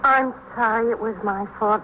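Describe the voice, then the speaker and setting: An actress playing a tender, pleading young American wife, earnest and plaintive in an old radio drama. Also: female voice, fairly high pitch, steady tone, moderate pace, lightly acted. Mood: apologetic, defensive. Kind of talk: 1950s radio drama acting. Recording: muffled, faintly noisy vintage radio recording.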